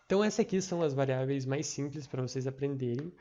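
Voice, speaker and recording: A young man from Brazil giving a screen-recorded programming lesson, halting, with many fillers and restarts. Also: mid-range voice, mellow. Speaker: tutor. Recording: dull lo-fi mic.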